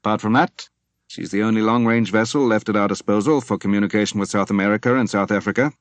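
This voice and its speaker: A man's voice: fairly low pitch, steady tone, dry recording, lightly acted. A man playing a commanding senior naval officer. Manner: formal, measured, emphatic.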